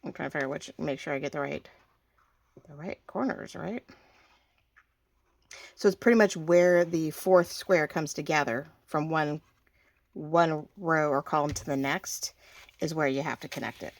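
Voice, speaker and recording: A woman hosting a casual crafting tutorial, chatty and thinking aloud as she works, slightly hoarse and often clearing her throat. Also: sits low, normal speed, pauses often. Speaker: craft video host.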